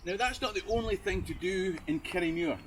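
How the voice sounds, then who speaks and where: A man's voice: high voice, a little fast. Scottish male history guide for a travel video, conversational delivery with a wry touch. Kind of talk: history travel storytelling to camera. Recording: noisy outdoor location, traffic noise.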